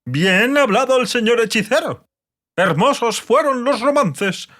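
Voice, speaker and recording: A man's voice: very high voice, animated, a little slow, a bit bright, voice pushed up, exaggerated voice. A man voicing a gallant, boisterous fantasy knight who speaks in grandiose courtly praise for an audiobook. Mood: effusive, boisterous. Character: gallant thin knight, boisterous, effusive admirer. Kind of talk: audiobook fantasy character acting.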